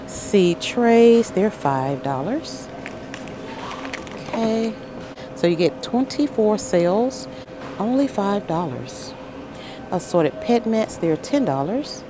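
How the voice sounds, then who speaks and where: A woman strolls the aisles of a big store, calling out items and prices at an unhurried pace with a lively, swooping voice. Her phone picks up steady store noise around her. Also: medium pitch. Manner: upbeat, listing items and prices with little exclamations. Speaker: shopping vlogger. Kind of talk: shop-with-me price walkthrough. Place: aisles of a large grocery store, indoors. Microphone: handheld phone camera.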